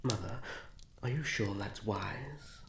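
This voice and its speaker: Woman as male elven prince, unhurried, earnest, formal, roomy sound, fantasy roleplay. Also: a bass voice. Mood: earnest, proud. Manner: formal, hesitant pauses, courtly. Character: young male elf prince, earnest, proud, protective. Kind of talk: scripted fantasy audio roleplay, ASMR. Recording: roomy sound with faint background noise.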